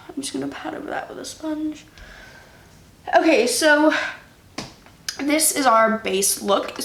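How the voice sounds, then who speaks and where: Young woman, slightly nasal, chatty, slow-paced, echoey room. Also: high-pitched, gravelly, a bit bright. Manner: chatty, filler-heavy, unhurried. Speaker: beauty vlogger. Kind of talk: casual makeup tutorial. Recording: echoey room with faint noise.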